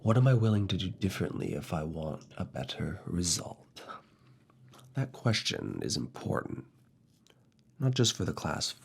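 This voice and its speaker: A man playing a firm, teasing, quietly seductive university professor in soft, intimate ASMR roleplay, speaking deliberately in a husky, breathy voice with whispered phrases. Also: very animated, exaggerated voice. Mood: firm, instructive. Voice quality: husky, breathy, low gravelly voice. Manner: slow, deliberate, pauses between short phrases, slipping into whispers.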